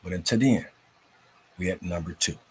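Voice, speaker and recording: A man hosting and reading for a children's story show in an informal, folksy, unhurried way, with a husky, raspy voice. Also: low-pitched, slight background noise. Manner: folksy, unhurried, addresses listeners as family.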